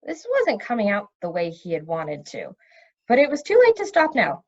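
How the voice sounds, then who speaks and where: A woman reading a fantasy novel aloud as narrator, in an expressive storybook style. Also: medium pitch, normal speed, highs cut off.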